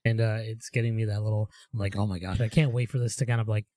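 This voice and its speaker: A young man co-hosting a casual podcast, rambling and animated as he tells stories. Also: lowish voice, fast talker, no room sound, clean studio sound. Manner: rambling and animated, with upbeat storytelling. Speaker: podcast co-host. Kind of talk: casual chat.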